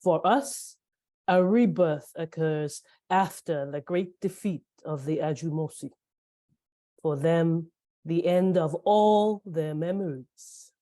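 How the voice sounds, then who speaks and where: A low, dark female voice for a menacing zealot, acted by a woman at a script read. The words come slowly and deliberately, in the manner of a dramatic monologue. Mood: ominous, proud. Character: menacing enemy faction zealot, loyal to a deathly machine leader. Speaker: actor in a live script reading.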